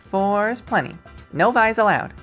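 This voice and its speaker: A man's voice: high-pitched, expressive, unhurried, fairly bright tone, faint music behind, pitched above the performer's own voice. A man voicing a playful, blunt young college woman in a visual novel, with a teasing, slangy manner. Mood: playful, stubborn. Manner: teasing, slangy, hesitant when asking favours.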